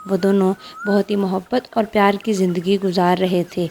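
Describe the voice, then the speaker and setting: Mellow female fairy tale narrator. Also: medium pitch, flat delivery, moderate pace. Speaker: storyteller.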